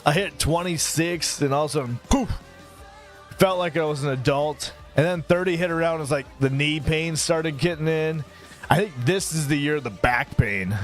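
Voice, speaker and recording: A man in his thirties talks loosely through a live stream, drifting from topic to topic and greeting viewers by name. His voice sits a bit high for a man. Light music plays faintly behind him. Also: moderate pace. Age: 30s. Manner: rambling, relaxed, thanks viewers by name. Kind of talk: casual live-stream chat.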